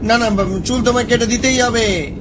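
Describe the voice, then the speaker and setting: A man's voice: extremely high pitch, fairly even intonation, normal speed, noisy background. A man playing an impatient, insistent barbershop customer in a cartoon. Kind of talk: animated horror story dialogue.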